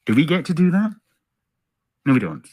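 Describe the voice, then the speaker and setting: A man's voice: fairly high pitch, sing-song, moderate pace, darkish tone, no room sound, studio quality. Ranting Scottish man, swings up and down. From Scotland. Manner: profane, sarcastic, full of "right?" and "like". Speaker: commentary YouTuber. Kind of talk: ranting video commentary.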